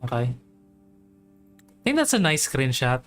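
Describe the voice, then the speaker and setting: Slow-paced casual game streamer, mid-pitched. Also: big pitch swings, a bit bright. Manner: informal, reacting in short musing remarks. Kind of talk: casual gameplay commentary. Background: faint game music.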